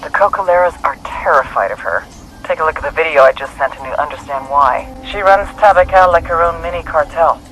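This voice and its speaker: A businesslike intelligence handler in a game. The delivery is brisk and matter-of-fact, laying out mission briefings to special forces soldiers over faint radio-like background noise. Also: female voice, sits low, animated, fairly bright tone, low-quality recording.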